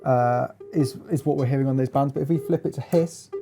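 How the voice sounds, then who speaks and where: A man giving a casual hands-on demonstration as a gear reviewer, explaining in a halting, hesitant way. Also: medium pitch, steady tone, over music.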